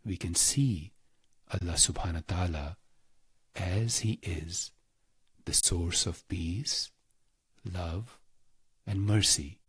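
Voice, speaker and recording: A man with a husky, breathy voice guides a scripted meditation in short, deliberate phrases, solemn and devotional. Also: low voice, dry recording. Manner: slow, deliberate, pausing between short phrases.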